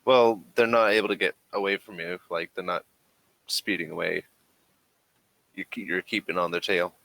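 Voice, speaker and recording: A man running a tabletop game as game master, narrating casually and haltingly as he thinks aloud. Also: sits low, steady tone, creaky, a bit bright, dry recording, low-quality recording.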